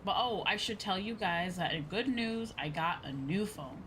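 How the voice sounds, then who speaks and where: A woman's voice: lowish voice, lively intonation, moderate pace, background noise. A woman livestreamer chatting casually to her viewers in a rambling, repetitive way. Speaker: VTuber streamer. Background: background music.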